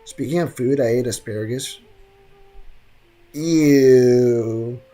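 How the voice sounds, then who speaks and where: A man's voice: medium pitch, slow pace, dark tone. A young man giving casual live gameplay commentary as a streamer, slangy, joking and sarcastic, often repeating his phrases. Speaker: game streamer. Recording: game music behind. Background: video game music.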